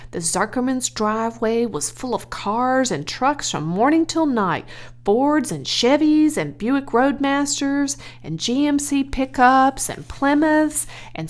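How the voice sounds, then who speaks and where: A woman narrating a children's audiobook, reading scripted prose in an expressive, clearly phrased way. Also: fairly high pitch.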